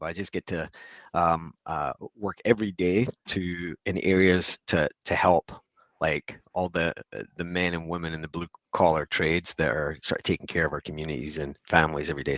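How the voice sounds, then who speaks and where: A man's voice: sits low, fairly bright tone, no room sound. A Scottish man in his forties, a podcast guest, chatting in an upbeat, rambling way with many restarts. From Scotland. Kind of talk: casual interview chat.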